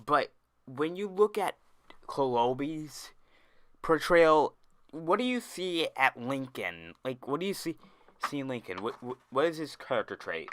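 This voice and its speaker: A man's voice: a little high, lively intonation, measured pace, no echo. A young man ranting in an online commentary video, exasperated and often tripping over and restarting his words, close to a dry mic. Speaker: YouTube commentator.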